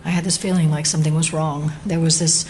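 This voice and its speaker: A woman's voice: sits low, level tone, fast, a little mellow, slight background noise, close to an everyday voice. An actress as a serious, uneasy female agent. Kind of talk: audio drama acting.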